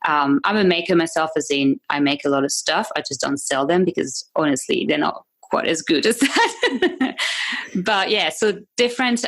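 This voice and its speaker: A woman with a French accent, a native French speaker, chatting as a guest on a podcast interview, laughing often and restarting her sentences. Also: deep voice. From France. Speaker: guest, membership business owner.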